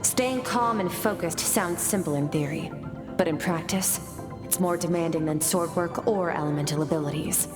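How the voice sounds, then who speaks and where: A woman voicing a cool, composed sword master mentor in a video game, measured and matter-of-fact. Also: lowish voice, lightly acted. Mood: calm, reassuring. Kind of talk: scripted video game dialogue. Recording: echoey game audio with music.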